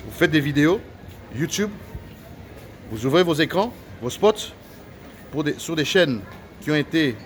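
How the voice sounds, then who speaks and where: A man's voice: a little high, a little hiss or hum. A man whose first language is Lingala, speaking in a heated, preachy and accusatory rant with many hesitations and repeated phrases.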